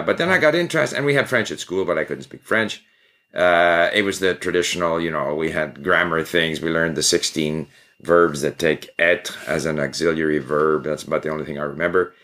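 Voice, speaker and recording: An older man from Canada, a guest in an interview, telling his story in a relaxed, reflective way. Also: sits low. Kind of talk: interview conversation.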